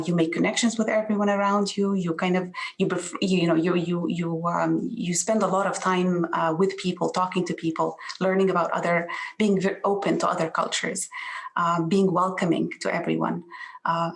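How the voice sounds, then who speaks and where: Gravelly low Egyptian-born woman. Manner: earnest, halting with many restarts. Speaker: panelist. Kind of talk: online panel introduction.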